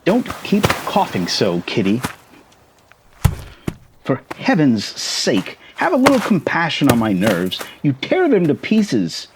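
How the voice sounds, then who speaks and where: A man's voice: mid-range voice, huge pitch swings, slow pace, noisy background, pitched above the performer's own voice. A man voicing a fretful, melodramatic middle-aged wife and mother who scolds and exclaims, in a storybook reading. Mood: scolding.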